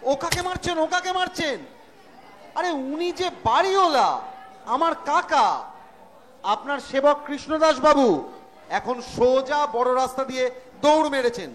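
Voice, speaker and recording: Man acting a high-voiced cheeky young nephew. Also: moderate pace, long pauses, fairly bright tone. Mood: mock concern. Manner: mock-earnest, wry. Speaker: amateur actor, schoolteacher. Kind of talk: staged comic Bengali audio drama. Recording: stage recording with audience noise.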